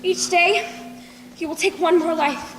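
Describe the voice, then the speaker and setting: A woman's voice: extremely high pitch, fairly even intonation, a little slow, faint music behind. A young teenage girl acting a brave, resolute daughter in a school stage play. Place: school stage in a large hall. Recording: echoey hall with background noise.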